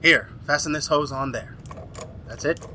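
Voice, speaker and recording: A man playing an anguished scientist in an old-time radio horror play, with a halting, urgent, melodramatic delivery. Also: fairly high pitch, sharp tone, slight background noise. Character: anguished scientist, doctor haunted by his creation.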